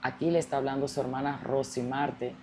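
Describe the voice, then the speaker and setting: A woman pastor hosts with an unusually deep, dark, mellow voice. She speaks in devotional phrases and affirms her guest often. A faint background hiss sits under her speech. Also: flat delivery, brisk. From Colombia. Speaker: pastor and host.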